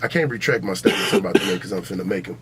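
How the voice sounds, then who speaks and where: A man's voice: low voice, very fast, background noise. An American man hosting a podcast in a casual, opinionated debate, blunt and profane, repeating himself for emphasis. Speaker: podcast host.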